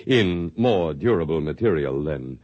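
An actor in a 1950s radio drama playing a smug, condescending bearded scientist with formal, smooth diction. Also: male voice, extremely low pitch, lively intonation, measured pace, no echo, muffled recording, exaggerated voice. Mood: patronizing. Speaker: head of the archive project.

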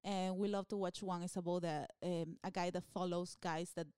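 A woman's voice: on the low side, flat delivery, moderate pace, darkish tone, smooth, dry recording, clean studio sound. A woman from Peru with a Peruvian Spanish accent, chatting casually as a comedian guest, laughing often and restarting her sentences. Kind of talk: casual interview chat.

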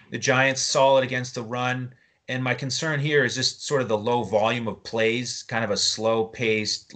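A man's voice: mid-range voice, fairly bright tone. A man with an American accent hosting a fantasy sports podcast in a conversational style.

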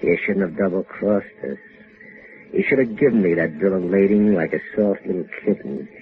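An actor playing a menacing thug who taunts with mock sympathy in a 1950s radio crime drama. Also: male voice, low-pitched, moderate pace, natural voice. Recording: tinny band-limited 1950s radio recording.

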